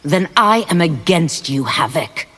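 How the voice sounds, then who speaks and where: A woman playing an earnest female warrior who speaks in a measured, reproachful way. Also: low-pitched, big pitch swings, long reverb tail, very noisy.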